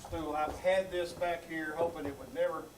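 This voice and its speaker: A man's voice: fairly high pitch, flat delivery, normal speed, background noise, lightly acted. A man acting an exasperated referee of a squabble in a live comedic stage skit at a small theater. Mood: organizing. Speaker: troupe member running a live stage show. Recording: live theater with loud audience.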